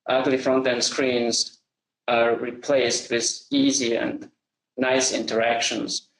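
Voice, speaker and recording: A middle-aged man from Austria with an Austrian German accent, giving a measured business presentation and slowing down to stress key phrases. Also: mid-range voice, roomy sound, poor audio. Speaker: company founder presenting.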